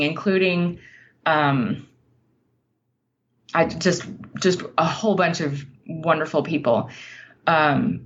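A woman's voice: deep voice, a bit bright, highs cut off. A woman speaking as an interview guest, a writer talking in an earnest, informal and reflective way. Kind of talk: casual conversational interview.

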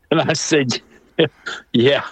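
A middle-aged man telling a story in a casual, comedic way. He is animated, acts out other people's lines and laughs often. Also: mid-range voice, slightly rough, no room sound. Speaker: storyteller guest.

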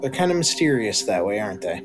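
A man voicing a weary, guarded older woman survivor who answers in halting, hesitant bursts. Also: lowish voice, very animated, over music.

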